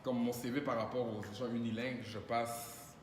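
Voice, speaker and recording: A man's voice: mid-range voice, moderate pace, echoey room, big-room sound, a little hiss or hum. Quebec French man hosting a podcast. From Montreal, Quebec. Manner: relaxed, mixes in English phrases. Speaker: podcast host. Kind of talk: casual chat.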